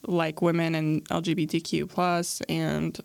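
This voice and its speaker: A woman's voice: deep voice, little pitch movement, unhurried, vocal fry, a little mellow, clean tone, no echo. A young woman hosting a podcast, asking questions in a friendly, rambling way with lots of fillers. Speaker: podcast host. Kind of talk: casual interview.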